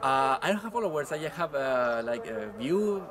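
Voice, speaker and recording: A young man, answering interview questions outdoors and pausing to search for English words. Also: mid-range voice, big pitch swings, a crowd in the background. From Chile. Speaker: touring cyclist being interviewed. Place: outdoors at a pilgrimage site.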